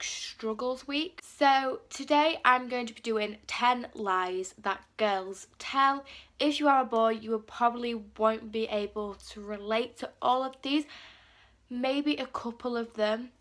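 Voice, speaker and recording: A woman's voice: on the high side, fairly bright tone. A teenage girl with a cold, chatting casually to camera and filling her talk with "like". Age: teens. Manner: chatty, lots of "like" fillers, acts out quotes. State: has a cold. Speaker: vlogger.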